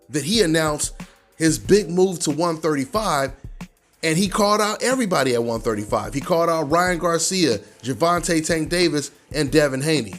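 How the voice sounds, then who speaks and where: Higher-pitched man giving emphatic sports commentary. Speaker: host of a boxing news channel. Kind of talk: conversational news commentary.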